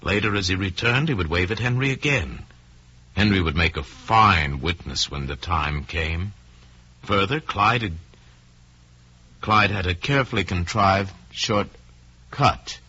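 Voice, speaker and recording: A man narrating a crime story in old-time radio style, deliberate and suspenseful, with dramatic pauses. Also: low voice, pushed and bright, slightly rough. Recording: dull, lo-fi old radio recording.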